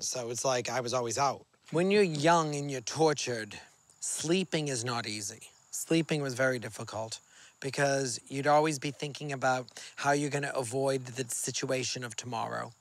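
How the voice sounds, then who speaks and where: A man's voice: mid-range voice, moderate pace, fairly bright tone, no room sound. A man in his fifties from Massachusetts, speaking openly and reflectively in a personal documentary interview. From Massachusetts, USA. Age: 50s. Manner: open, heartfelt, reflective.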